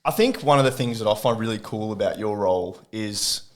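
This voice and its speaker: Low-voiced male podcast host. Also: a little fast. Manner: asks long, rambling questions with fillers. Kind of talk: casual podcast interview.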